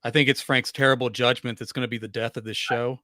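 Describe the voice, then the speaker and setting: A man's voice: medium pitch, flat delivery, quick pace, sharp tone, no echo, studio quality. A man bantering casually as a podcast guest, with a joking, teasing and self-deprecating manner.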